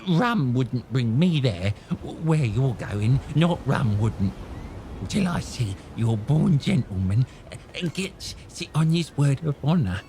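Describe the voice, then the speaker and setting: A man's voice: medium pitch, sing-song, slow, noisy background. An actor playing a half-crazed old male castaway who stammers, rambles and repeats himself. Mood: wheedling. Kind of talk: radio drama acting.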